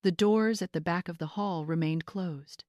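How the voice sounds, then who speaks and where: A woman with a slightly low voice narrates dramatic fiction. Her delivery is measured and suspenseful, and the recording is dry and close. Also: darkish tone, no echo. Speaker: story narrator.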